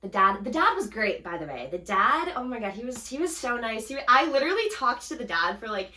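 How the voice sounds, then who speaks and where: A young woman co-hosting a casual podcast, telling a story in an animated way, acting out quotes and laughing often. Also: fairly high pitch, brisk, roomy sound. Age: young adult. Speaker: podcast co-host.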